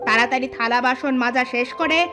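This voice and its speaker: Woman acting a high-pitched, nagging cartoon stepmother. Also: fairly quick, music in the background, close to an everyday voice. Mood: scolding, bossy. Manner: nagging, accusing, sharp-tongued. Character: cruel scolding stepmother, falsely sweet before her husband.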